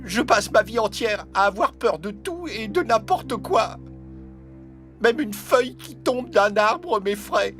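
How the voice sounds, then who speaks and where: A man playing a small, timid rat in an animated fable, meek, polite and pleading. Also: sits high, slow pace, faint music behind, exaggerated voice. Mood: fearful pleading. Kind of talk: animated fable character acting.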